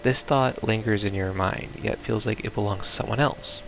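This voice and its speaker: A man's voice: fairly low pitch, moderate pace, vocal fry, background noise. A man playing a visual novel, reading its text aloud casually with baffled, blunt asides. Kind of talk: casual let's play, reading game text aloud with confused reactions.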